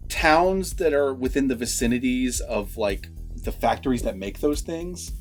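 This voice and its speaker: A man's voice: mid-range voice, lively intonation, a little slow, soft background music. A man hosting a casual movie review vlog, talking in a rambling, conversational, lightly profane way.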